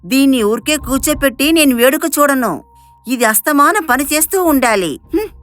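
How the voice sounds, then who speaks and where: Woman as a high-voiced, bossy, scheming aunt. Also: expressive, normal speed, no room sound, soft background music. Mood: scolding. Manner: scolding, bossy. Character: harsh, scheming, bad-tempered aunt and wife.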